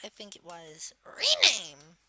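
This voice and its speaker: A woman voicing a cheeky cartoon villain kid, giving a software tutorial in a casual, halting way with filler words. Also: mid-range voice, huge pitch swings, slightly rough, full character voice. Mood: casual, explaining. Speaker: tutorial presenter.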